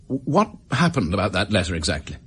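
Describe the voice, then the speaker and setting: An actor with an English accent playing a desperate, bitter office worker making a halting confession in a radio drama. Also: male voice, fairly low pitch, very animated, husky, heavily acted.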